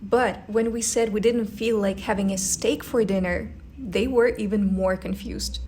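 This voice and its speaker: A woman's voice: medium pitch, steady tone, a little slow, a little mellow, a little hiss or hum. A young woman from a post-Soviet country with an Eastern European accent, narrating her life abroad in a friendly, earnest, conversational way. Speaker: vlogger. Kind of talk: scripted voice-over narration.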